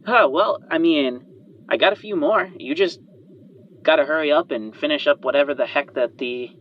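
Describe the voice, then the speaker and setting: A man's voice: on the high side, normal speed, voice pushed up, natural voice. A man voicing an eager, chatty female inventor who is loyal and worried, speaking in a hesitant, rambling way. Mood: excited, chatty. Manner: hesitant, rambling, trailing off and sighing. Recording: muffled band-limited audio under music.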